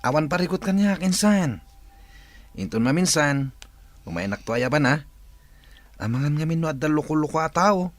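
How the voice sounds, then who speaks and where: A man's voice: a little high, expressive, moderate pace, long pauses, dry recording, lightly acted. A man playing a protective, reassuring cousin in an audio drama. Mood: reassuring, teasing. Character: protective, easygoing male cousin looking after relative.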